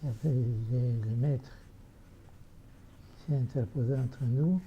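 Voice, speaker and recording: Gravelly older man, halting testimony. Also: mid-range voice, steady tone. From Silesia, Poland. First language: Yiddish. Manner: halting, many fillers and restarts. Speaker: witness giving testimony. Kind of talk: hesitant spoken recollection. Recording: dull, slightly noisy recording.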